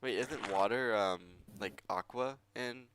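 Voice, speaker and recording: A man with a gravelly voice running a tabletop game on stream, chatting casually in a rambling, joking way and acting out imaginary dialogue. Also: medium pitch, measured pace, crowd noise. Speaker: game master.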